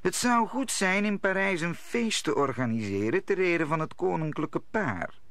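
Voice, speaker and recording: An actor playing a scheming, powerful cardinal with smooth words in a radio play. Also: male voice, mid-range voice, fairly bright tone, dry recording.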